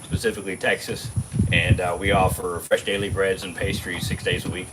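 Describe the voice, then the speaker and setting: A man from Germany, telling a fishing story in a relaxed, casual way with many fillers. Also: low voice, a little fast, noisy background. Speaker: guest angler and bakery owner.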